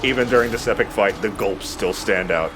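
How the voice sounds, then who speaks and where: A man's voice: lowish voice, fairly quick, over music. A man giving casual commentary as he plays a video game, chatting and swearing lightly. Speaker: let's play host playing the game. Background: video game sound.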